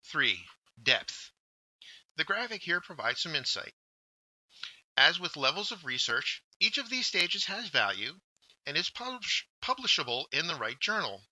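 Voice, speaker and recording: A man presenting a scripted instructional workshop, with deliberate, emphatic phrasing. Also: a little high, sing-song, bright, forceful tone, dry recording, poor audio. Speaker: workshop presenter, journal editor.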